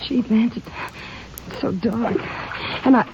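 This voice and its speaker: A fretful gangster's wife played by a woman, her voice high and pleading. The old radio drama recording is dull, with clear noise. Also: unhurried, close to an everyday voice. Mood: anxious. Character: anxious young wife of a fugitive. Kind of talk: 1950s radio crime drama acting. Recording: noisy, muffled 1950 radio recording.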